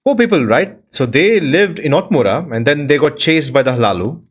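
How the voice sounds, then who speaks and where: A man giving casual let's-play commentary in a husky voice, musing aloud and reading names off the screen. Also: a little high, animated, fairly quick. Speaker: video game player and host. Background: plucked-string game music.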